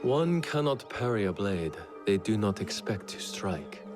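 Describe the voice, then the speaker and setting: A man playing a resolute, calculating feudal Japanese lord in a video game, speaking formally and gravely. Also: on the low side, very animated, unhurried. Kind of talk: cinematic video game acting. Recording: prominent music behind the voice. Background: clear background music.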